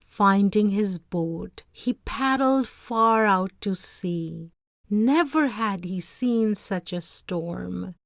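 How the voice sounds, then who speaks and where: A woman narrating a storybook in a measured, dramatic read-aloud style. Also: medium pitch, lively intonation, gravelly, no echo, clean studio sound. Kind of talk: expressive scripted storybook narration.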